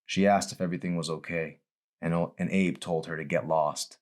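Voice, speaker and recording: Low-pitched man narrating a novel. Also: steady tone, a little fast, darkish tone. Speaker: author reading own novel. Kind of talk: scripted audiobook narration.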